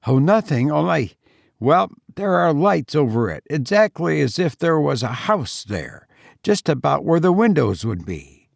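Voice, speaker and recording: A man's voice: a little high, very animated, unhurried, vocal fry, no room sound, clean studio sound, voice pushed up, full character voice. A man voicing a shaken son in a storybook who feigns unconcern while controlling an unsteady voice. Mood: nervous, feigned calm. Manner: trying to control a shaky voice.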